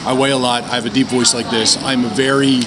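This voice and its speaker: A loud, boisterous older man co-hosting a casual chat, blunt and in-your-face, recorded outdoors at a convention with noise behind him. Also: mid-range voice, fairly even intonation, quick pace. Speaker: podcast co-host.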